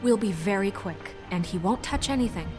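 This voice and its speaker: An actress playing a composed, firm, businesslike investigator in a video game. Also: female voice, medium pitch, lively intonation, fairly quick, close to an everyday voice. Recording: music behind the voice.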